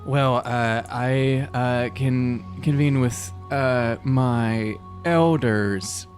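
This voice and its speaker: Male tabletop player, mid-pitched, hesitant, dry delivery, casual improvised roleplay over faint music. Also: extremely slow.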